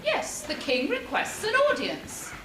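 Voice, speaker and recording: A woman with an English accent playing a haughty, sharp-tongued queen in an amateur stage pantomime. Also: sits high, huge pitch swings, unhurried, exaggerated voice. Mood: indignant. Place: theatre stage. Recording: echoey, very noisy theatre, distant microphone.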